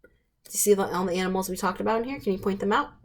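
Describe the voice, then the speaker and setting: A woman's voice: medium pitch, racing, slight background noise. A woman hosting storytime, reading picture books aloud in simple, emphatic phrases and putting questions to young listeners. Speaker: storytime host reading to children.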